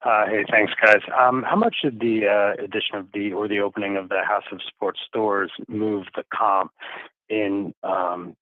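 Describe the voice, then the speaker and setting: A man's voice: fairly low pitch, steady tone, normal speed, dry recording. A male financial analyst casually asking questions on an earnings call, hesitating often, over a phone line. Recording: narrowband phone line, dry.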